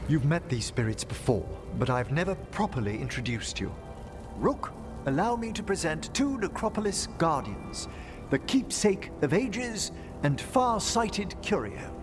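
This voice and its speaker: An actor playing a refined, earnest necromancer scholar in a fantasy game, eloquent and formal with a tender manner. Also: male voice, fairly high pitch, sing-song, measured pace, music in the background. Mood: resolved.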